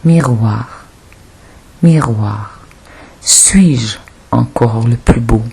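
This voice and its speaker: A woman with a breathy, husky voice reciting poetry as an audiobook reader, in a solemn, deliberate manner with pauses. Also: extremely low pitch, warm tone.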